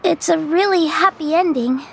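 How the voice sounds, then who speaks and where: A woman's voice: extremely high pitch, normal speed, vocal fry. A woman voicing a shy, polite little girl in a video game, speaking hesitantly and sweetly.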